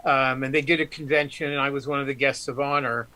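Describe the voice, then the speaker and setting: A man's voice: medium pitch, fairly even intonation, fast, bright, forceful tone, rough recording. A man guest author telling stories on a live stream, rambling with many ums and restarts. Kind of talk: casual storytelling on a live stream.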